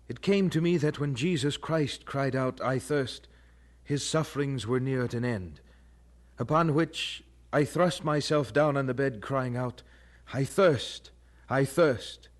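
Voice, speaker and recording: Male actor as devout young student, medium pitch, sincere and deliberate, radio drama delivery. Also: close to an everyday voice. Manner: earnest, respectful, deliberate.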